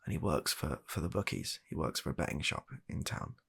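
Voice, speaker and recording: Low, husky British man acting a deadpan doctor. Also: exaggerated voice. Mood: baffled, resigned. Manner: halting, deadpan, exasperated. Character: weary, reluctant, baffled male doctor humouring an absurd patient. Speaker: comedy ASMR performer. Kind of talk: comedy ASMR medical roleplay.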